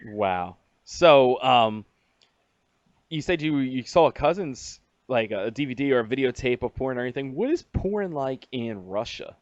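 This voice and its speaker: A male radio host runs a loose interview, hyped, crude and swearing, often stumbling into restarts. His voice sits at a plain middle pitch. The sound is dry and a little muffled. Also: animated, unhurried, dry recording.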